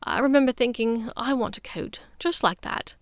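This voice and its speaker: A woman voicing a warm, pleading older sister witch in a story, expressive and a little halting. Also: a little high, no room sound, clean studio sound, close to an everyday voice. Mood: fond, nostalgic.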